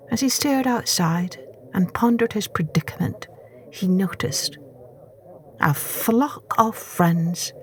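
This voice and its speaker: A woman narrating a children's story as a podcast storyteller, dramatic and savoring each word with deliberate pauses. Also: on the low side, breathy edge.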